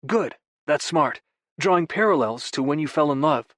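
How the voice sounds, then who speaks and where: A man's voice: a little high, lively intonation, normal speed, no room sound, studio quality, voice pushed up, natural voice. A man voicing a bossy young man who lectures his brother in a pointed, know-it-all way. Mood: lecturing.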